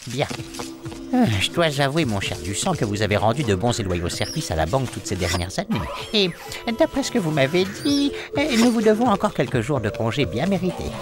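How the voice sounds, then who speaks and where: Pompous bank boss, swooping pitch. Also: male voice, wildly swooping pitch, a little fast. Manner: pompous, formal. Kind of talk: cartoon voice acting. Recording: music under the voice.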